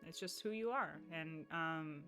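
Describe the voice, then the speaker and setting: A woman's voice: sits low, unhurried, pushed and bright, soft background music. A young woman with a Midwestern accent talking casually to her stream chat in a rambling way, full of "like" and restarts. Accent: Midwestern American. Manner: chatty and rambling, heavy on "like" and restarts.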